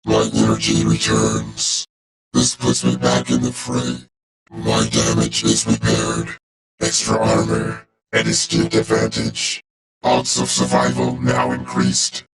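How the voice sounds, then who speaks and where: A man voicing a cold, loyal robot warrior for a video game, speaking in a clipped, formal, deadpan way through a robotic filter. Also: fairly low pitch, slow, creaky, full character voice, low-quality recording. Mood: coldly calculating. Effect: robotic vocoder filter.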